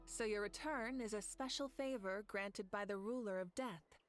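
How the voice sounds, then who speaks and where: An actress playing a proud, fiery woman who leads her nation, speaking as earnest game cutscene dialogue with soft music behind. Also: medium pitch, moderate pace, close to an everyday voice. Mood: solemn. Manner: solemn, earnest storytelling.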